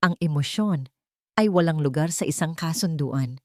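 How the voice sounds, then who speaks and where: A woman with a fairly low voice narrates a scripted romance story. Her phrasing is deliberate, with dramatic pauses, and the sound is dry and close. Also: darkish tone, dry recording. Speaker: narrator of romance audio stories.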